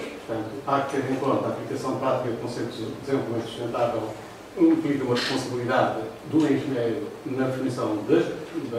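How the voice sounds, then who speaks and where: An older man with a European Portuguese accent giving a formal lecture over slides, pausing often with fillers. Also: medium pitch, background noise. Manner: talks through slides, hesitant fillers. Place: classroom with projector. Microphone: far room camera. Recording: roomy echo from far off.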